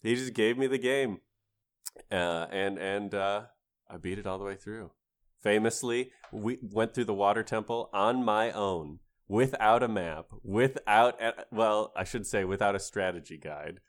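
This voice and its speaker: A man with a deep, low voice chats as a podcast co-host. He spins casual stories about gaming and slips into playful, grandiose asides, swearing freely. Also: unhurried.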